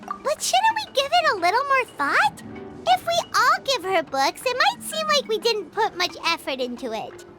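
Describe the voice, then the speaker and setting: An actress voicing a small, eager, inquisitive childlike companion in an anime game, with a squeaky voice. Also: female voice, wildly swooping pitch, normal speed, over loud music, heavily acted. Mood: earnest, questioning. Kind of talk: anime video game voice acting.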